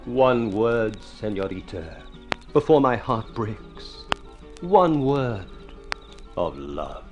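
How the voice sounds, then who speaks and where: A man's voice: lowish voice, big pitch swings, very slow, exaggerated voice. An actor playing an eloquent, sardonic man who woos in grandiloquent, mock-poetic speech in a vintage radio drama. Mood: florid, ardent. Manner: florid, grandiloquent, theatrical. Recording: old radio drama recording with music.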